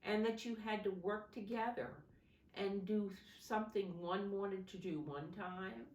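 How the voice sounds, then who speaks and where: A woman reading a storybook expressively to young children, deliberately, pausing to explain and ask simple questions. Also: medium pitch, fairly even intonation, a little mellow, muffled recording. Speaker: preschool teacher reading aloud. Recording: roomy echo, distant microphone.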